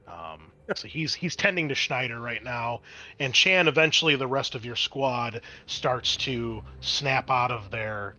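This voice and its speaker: A man's voice: fairly low pitch, bright, forceful tone. A man working as a game master, narrating a tabletop role-playing game in a conversational, improvised way.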